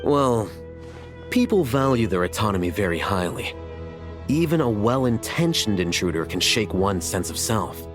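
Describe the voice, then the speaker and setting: A man playing a composed, coolly analytical scholar in a video game, speaking in measured, formal phrases. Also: on the low side, big pitch swings, over music.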